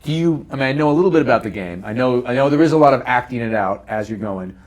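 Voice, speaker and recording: A man's voice: medium pitch, animated, fast. A man hosting a casual group interview, asking questions in a conversational way. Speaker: host.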